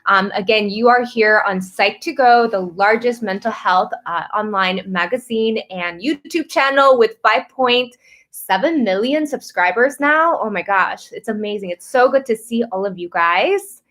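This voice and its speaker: A woman's voice: mid-range voice, a little slow, a bit bright. A woman from Korea hosting a casual livestream chat, upbeat and gushing, talking straight to viewers. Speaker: host, performance coach. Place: café in Seoul.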